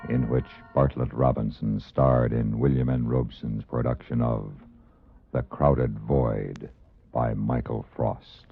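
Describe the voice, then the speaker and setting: A man reading scripted old-time radio announcements solemnly, with dramatic pauses. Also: very deep voice, steady tone. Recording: tinny, husky old radio recording. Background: music.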